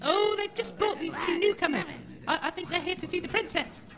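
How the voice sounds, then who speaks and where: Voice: extremely high pitch, brisk. An actor voicing a fussy, chatty old cleaning robot with a genteel, motherly manner in a comic audio drama. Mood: flustered. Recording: echoey hall with noise.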